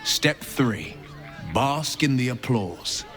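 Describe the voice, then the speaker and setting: A man playing a grave, bitter observer in a video game, with measured, rhetorical, sardonic delivery. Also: medium pitch, animated, a bit bright, faint music behind, some chatter behind. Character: grave, bitter observer condemning a tyrant's cruelty. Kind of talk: dramatic video game acting.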